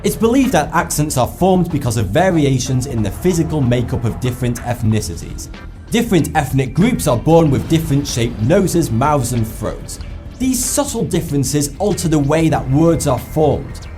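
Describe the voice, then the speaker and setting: A man with a West Lancashire accent giving scripted educational narration, with lively delivery and wry asides. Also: fairly high pitch, moderate pace, faint music behind. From England. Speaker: narrator of an explainer video.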